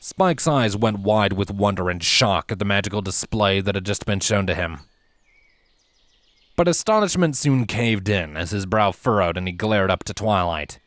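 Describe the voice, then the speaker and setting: A man's voice: on the low side, animated, moderate pace, a bit bright, dry recording. A man reading a scripted fantasy story aloud as its narrator. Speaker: fan fiction reader, narrator. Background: background music.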